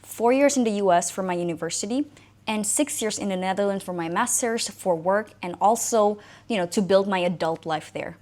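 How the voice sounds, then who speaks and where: A woman's voice: medium pitch, moderate pace, a little mellow. A woman in her thirties from Indonesia, whose first language is Indonesian, speaking as an English coach who tells her own story to the camera in a reflective, conversational way. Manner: conversational, reflective, frequent "you know" and "like". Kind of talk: personal talk to camera.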